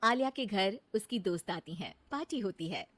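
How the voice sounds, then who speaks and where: A woman's voice: medium pitch, animated, moderate pace, a bit bright, dry recording. A woman narrating a scripted moral story as the storyteller.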